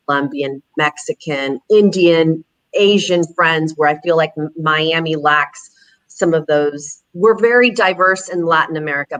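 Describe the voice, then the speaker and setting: A woman's voice: sits low, a bit bright, dry recording, low-quality recording. A middle-aged woman from Houston, Texas, chatting casually as a talk show guest, often laughing as she talks. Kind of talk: casual chat.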